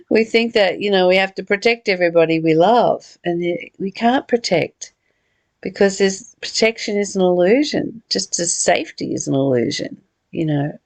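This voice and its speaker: A woman's voice: lowish voice, creaky, no echo. A middle-aged woman talking reflectively and personally in a conversational study discussion. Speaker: study group leader.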